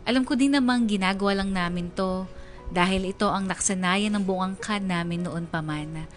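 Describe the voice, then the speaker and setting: Actress as confused young woman telling her family story, mid pitch, music behind, radio drama. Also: normal speed, lightly acted. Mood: resigned. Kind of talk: first-person radio drama narration.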